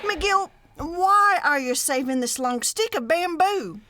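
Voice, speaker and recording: A woman's voice: very high voice, animated, takes it slowly, bright, forceful tone, no echo, heavily acted. An American woman playing an exasperated, long-suffering wife in old-time radio comedy style, chiding her husband dryly. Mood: weary. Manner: dry, chiding.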